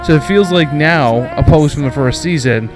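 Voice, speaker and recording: A man's voice: a little high, normal speed. A man hosting a podcast game review, giving commentary that moves between prepared narration and loose opinion. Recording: music playing behind the voice. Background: music.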